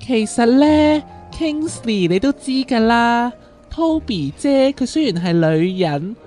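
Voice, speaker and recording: A woman's voice: fairly high pitch, expressive, takes it slowly, dark tone, soft background music. A woman playing a dramatic, clingy, lovesick young man with theatrical, whiny delivery in a comic radio drama. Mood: earnest, pleading. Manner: theatrical, whiny, repeats words.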